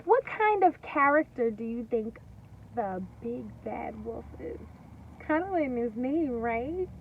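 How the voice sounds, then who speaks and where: A woman with a high, very animated voice reads to young children like a teacher. She is singsong and emphatic, leaving pauses after questions. The mic sounds distant, with slightly dull sound and faint noise. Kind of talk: interactive storybook read-aloud. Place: at home.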